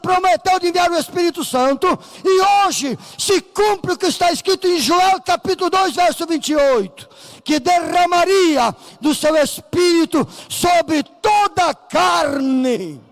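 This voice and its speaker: A man with a Brazilian Portuguese accent preaching a Pentecostal sermon in church, fervent and rising to shouts as he acts out voices from the story. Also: extremely high pitch, lively intonation, measured pace. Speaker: pastor. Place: church sanctuary. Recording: reverberant church hall.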